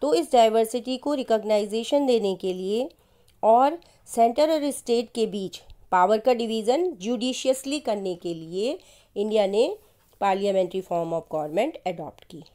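A woman with an Indian accent explaining civics points one by one in a steady, explanatory voice-over for a slide lesson. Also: on the high side, a little slow. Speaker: teacher.

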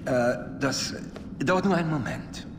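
A man playing a flamboyant, menacingly polite tyrant king in a video game, theatrical and mock-courteous, with drawn-out phrases and sudden pauses. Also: a little high, roomy sound, in a large hall, background noise, close to an everyday voice. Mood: silky menace. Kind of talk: video game cutscene acting.

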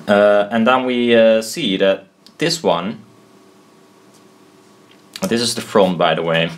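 A man giving an informal tutorial at a desk, thinking aloud in a halting, self-deprecating way. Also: lowish voice, steady tone. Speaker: presenter explaining loudspeaker technology. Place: indoors at a desk.

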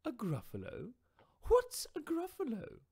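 A sly storybook owl speaks very high with wild swoops. The delivery is hooting, fluttery and excitable. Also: male voice, a little slow, slightly rough, full character voice. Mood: inviting.

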